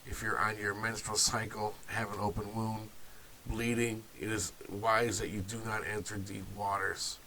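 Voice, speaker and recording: A man's voice: lowish voice, little pitch movement, normal speed, pushed and bright, a little hiss or hum, rough recording. Nasal man getting over a cold. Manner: loose and offhand, with restarts and asides. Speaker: video series host. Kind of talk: informal talk to camera.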